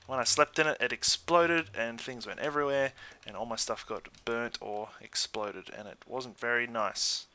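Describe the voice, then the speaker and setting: Australian man, crisp bright voice, medium pitch, casual let's play commentary, easygoing, chatty. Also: normal speed, hardly any pauses, slight background noise. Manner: easygoing, chatty, thinks out loud. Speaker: gaming YouTuber.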